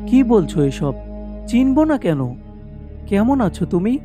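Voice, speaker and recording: Casting: male actor as sulky, emotional young friend for animated horror dialogue, light music behind. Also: sits high, unhurried. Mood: startled.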